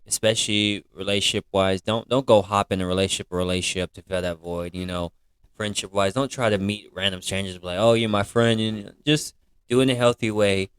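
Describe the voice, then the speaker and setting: Smooth-voiced emphatic man in his twenties. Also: lowish voice, steady tone, a little fast, a bit bright, dry recording. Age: 20s. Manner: emphatic and opinionated, keeps saying "you know what I'm saying". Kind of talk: casual podcast chat.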